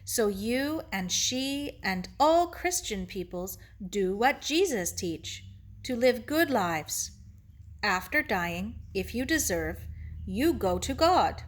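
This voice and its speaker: A woman reader gives us an elderly Japanese man from a children's novel, gentle and wise. He talks slowly in short, broken English phrases, measured and philosophical. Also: on the high side, sing-song, full character voice. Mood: gentle, consoling. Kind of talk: children's novel read aloud.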